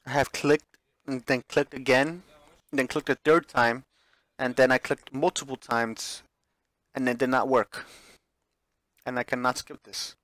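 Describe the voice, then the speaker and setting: A man's voice: medium pitch, flat delivery, a little slow, fairly bright tone, no room sound. A man streaming a visual novel, chatting casually as he plays and reading the text aloud, sometimes stumbling and correcting himself.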